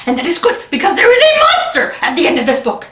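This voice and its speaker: A woman's voice: extremely high pitch, huge pitch swings, quick pace, a bit bright, voice pushed up, full character voice. A woman voicing a lovable, fearful furry monster from a children's picture book, with dramatic exclamations and pleading. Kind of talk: animated children's picture-book character reading. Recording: echoey room, distant microphone.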